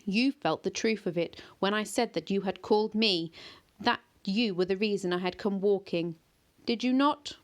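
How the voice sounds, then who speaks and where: An ancient tree monster as tall as a house, speaking slowly and gravely with old, quiet authority, played by a woman reading the story. Its words come weighty and unhurried, more stern than frightening. It is a storybook voice, not a shout. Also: mid-range voice, close to an everyday voice. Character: ancient giant yew-tree monster, male, imposing.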